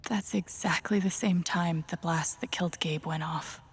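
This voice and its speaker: An actress playing a wary, determined young woman in a video game, thinking aloud in a hushed, breathy, wry way. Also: lowish voice, fairly even intonation, moderate pace. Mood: uneasy, intent. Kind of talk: naturalistic video game acting.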